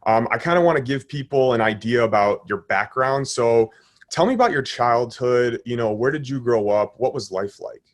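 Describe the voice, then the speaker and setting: A man's voice: mid-range voice, animated, normal speed. A man in his thirties hosting a podcast interview over a video call, friendly and upbeat in a casual way. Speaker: host. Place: indoor living room, video call.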